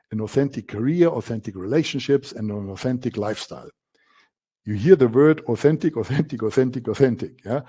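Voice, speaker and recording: A man's voice: medium pitch, warm tone, slightly rough. A man from Germany with a German accent, presenting a coaching masterclass as the instructor and stressing key words by repeating them.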